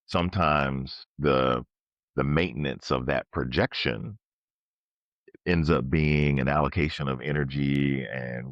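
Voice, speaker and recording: A man's voice: a bass voice, no room sound, muffled recording. A man co-hosting a podcast, speaking in a casual, reflective conversational style and explaining things analytically. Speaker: podcast co-host.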